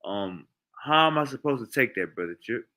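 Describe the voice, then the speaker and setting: A man's voice: medium pitch, expressive, moderate pace, bright, forceful tone. A man in casual debate about scripture, pressing his guest with challenging rhetorical questions. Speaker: host.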